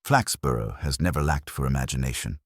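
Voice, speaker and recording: A shrewd, unruffled police inspector as a male narrator reads him in a mystery story. He speaks mildly and with dry understatement, in a very deep, husky voice. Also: brisk, no room sound. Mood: mildly amused. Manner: mild, dryly understated.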